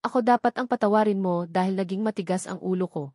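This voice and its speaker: A woman's voice: medium pitch, fast talker, darkish tone, dry recording, clean studio sound, lightly acted. A woman with a Filipino accent voicing a remorseful, loving young wife in a romance audiobook, tender and apologetic. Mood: tearful, apologetic.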